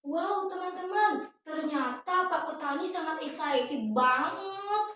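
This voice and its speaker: A woman's voice: very high-pitched, slow pace. A young adult woman telling a children's story expressively, often speaking straight to her listeners. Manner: expressive, often addresses the audience as friends. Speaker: student storyteller. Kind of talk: children's storytelling addressed to viewers. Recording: echoey room, distant microphone.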